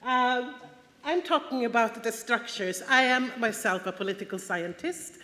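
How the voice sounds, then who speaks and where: A woman from Sweden with a Swedish accent, giving an earnest, halting personal talk at a conference. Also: a little high, bright, forceful tone. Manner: earnest, halting, frequent restarts and fillers. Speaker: conference speaker. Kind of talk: personal testimony talk with slides. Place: large conference hall. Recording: echoey hall, long reverb.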